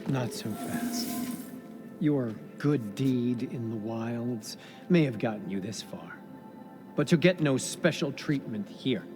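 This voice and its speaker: An actor playing a suspicious, officious guard in a video game, stern and sneering toward outsiders. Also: male voice, medium pitch, takes it slowly, over music, close to an everyday voice. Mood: stern, haughty.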